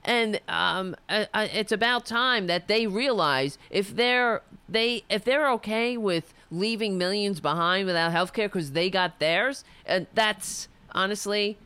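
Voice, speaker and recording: A woman's voice: mid-range voice, a little slow, hardly any pauses, pushed and bright, clear, resonant tone, no room sound. A woman hosting a political show, delivering an impassioned, ranting monologue while losing her voice. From United States. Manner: fiery, ranting, restarts mid-sentence.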